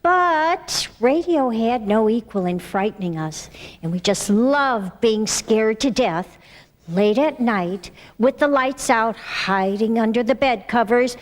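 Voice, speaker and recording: A woman's voice: fairly high pitch, expressive, slow pace. An older woman reading scripted narration at a microphone. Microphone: stand microphone. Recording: slightly reverberant hall.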